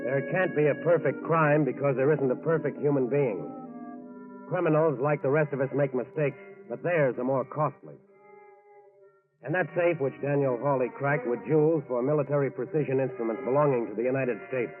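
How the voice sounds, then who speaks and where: Grave 1940s radio narrator over music. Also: male voice, mid-range voice, normal speed. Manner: grave, emphatic. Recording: tinny, narrow-band 1940s radio recording.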